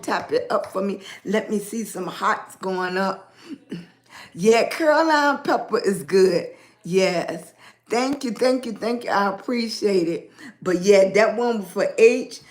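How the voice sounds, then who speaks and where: Woman, raspy voice, unhurried livestream host, echoey room sound. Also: medium pitch. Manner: greets viewers by name, rambling and animated. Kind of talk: casual livestream chat with viewers.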